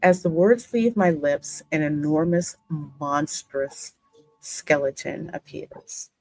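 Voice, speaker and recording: A woman's voice: sits low, expressive, a little mellow, low-quality recording. A woman hosting a gaming channel, narrating a story game and adding her own spoken asides. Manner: reads narration slowly, reacting aloud in asides. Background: music behind the voice.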